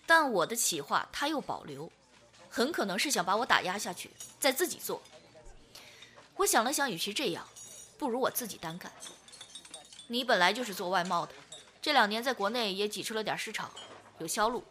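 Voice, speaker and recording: A woman's voice: medium pitch, animated, fairly quick, pauses often, sharp tone, a little hiss or hum. A woman acting a strong-willed businessman in his early thirties in a Chinese radio drama. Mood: calm, scheming.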